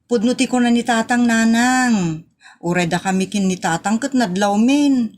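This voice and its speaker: A woman's voice: a little high, moderate pace, a little mellow, lightly acted. A woman with a northern Philippine accent acting a daughter who earnestly reproaches and pleads with a parent in a comedy audio drama. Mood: reproachful. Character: grown daughter earnestly reproaching her mother.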